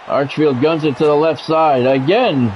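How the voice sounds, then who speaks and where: Male sports commentator, voice a touch high, radio-style calls with offhand asides, lo-fi sound. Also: measured pace, slight background noise. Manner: radio-announcer phrasing with casual asides. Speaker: streamer doing play-by-play. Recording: dull, lo-fi audio with music behind.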